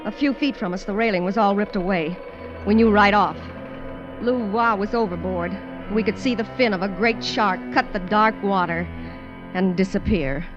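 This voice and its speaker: Casting: woman playing a wary, streetwise American adventuress narrating a radio thriller, plain slangy talk, dull vintage sound with loud music. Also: medium pitch, moderate pace, close to an everyday voice. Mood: tense recounting. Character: streetwise, guarded woman adventurer narrating her sea tale. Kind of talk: 1940s-50s American radio drama acting and first-person narration.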